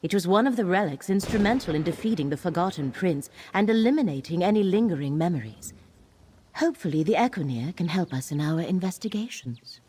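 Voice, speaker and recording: A woman playing a mellow, grave fantasy scholar. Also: lowish voice, animated, slight background noise, natural voice. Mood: solemn, measured. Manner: measured, formal, solemn. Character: grave, scholarly woman guide to ancient ruins. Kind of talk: fantasy video game dialogue.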